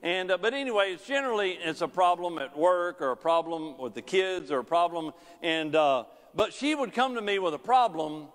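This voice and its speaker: A man's voice: lively intonation, normal speed, hardly any pauses. Preaching man in a reverberant church. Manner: preaching with wide swings in pitch and loudness, pacing the stage. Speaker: pastor. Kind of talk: sermon. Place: large church sanctuary. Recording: long church hall reverb.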